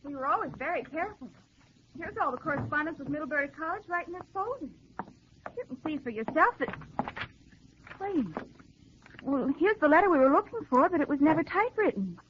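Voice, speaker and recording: A courteous, capable college girl in a 1940s radio play. The voice is very high and proper. It comes through a dull old recording with faint noise. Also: expressive, brisk, long pauses. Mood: concerned. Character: polite, capable, helpful young college woman.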